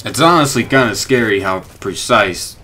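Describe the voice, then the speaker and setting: Low-pitched young man doing gaming commentary. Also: animated, normal speed, sharp tone, slight background noise. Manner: chatty, informal. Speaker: gaming YouTuber, host. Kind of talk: casual video commentary to viewers. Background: light music.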